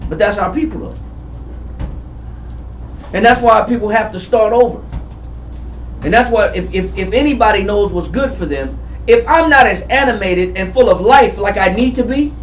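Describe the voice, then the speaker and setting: A man in his forties teaching a Bible study, emphatic and repetitive, calling on readers and building to shouts. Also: high-pitched, expressive, normal speed, pauses often, a little hiss or hum. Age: 40s. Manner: emphatic, repetitive, calls for readings, rising to shouts. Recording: far-off echoey room, muffled and lo-fi.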